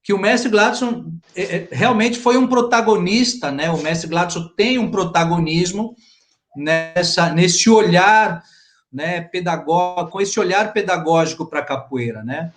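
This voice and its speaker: A man's voice: high-pitched, a little slow. A man with a Brazilian Portuguese accent interviewing a guest conversationally, deferential and roundabout, often repeating words as he builds a question.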